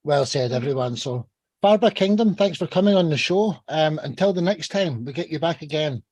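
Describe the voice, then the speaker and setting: A man's voice: on the high side, lively intonation, moderate pace, a little mellow, dry recording. A man hosting a podcast, introducing guests in an upbeat, friendly way. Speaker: podcast host.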